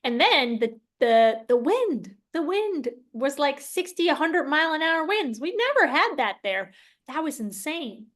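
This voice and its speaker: A woman's voice: high-pitched, big pitch swings, a little slow, fairly bright tone. A woman hosting an interview as a casual chat, informal and emphatic, repeating phrases for emphasis. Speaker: interview host.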